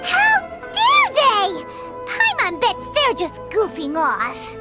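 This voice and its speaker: A tiny, childlike fairy girl in a cartoonish game scene. Her voice is extremely high and squeaky, with big swoops and drawn-out, unhurried words. She is excitable and indignant, full of exclamations. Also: heavily acted. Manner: refers to herself by name, exclamatory. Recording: loud music behind the voice.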